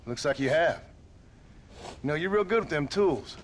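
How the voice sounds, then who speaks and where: A man's voice: a little high, animated, moderate pace, slight background noise, close to an everyday voice. An actor playing a tough, plain-spoken rancher father of the Old West, with an American Western drawl, in a video game. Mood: fatherly, wry. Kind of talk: dramatic video game cutscene acting.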